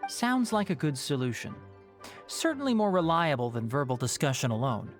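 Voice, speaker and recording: A sharp young male scholar, voiced by a man, talks in a measured way with a dry, sarcastic edge. His pitch moves lively up and down, and faint game music plays behind him. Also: natural voice. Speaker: scholar and guardian. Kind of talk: video game voice acting.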